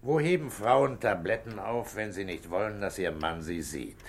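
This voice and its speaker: An actor in a 1960s radio play, playing a dutiful, brooding police inspector with a terse, deliberate manner. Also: male voice, lowish voice, natural voice. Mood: dry, evasive. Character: brooding, dutiful male police inspector, gruff but caring.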